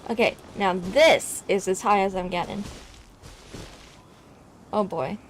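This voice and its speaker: A woman giving casual, excitable gameplay commentary, exclaiming and talking to herself as she plays. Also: medium pitch, slow pace, slight background noise, poor audio. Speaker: game streamer.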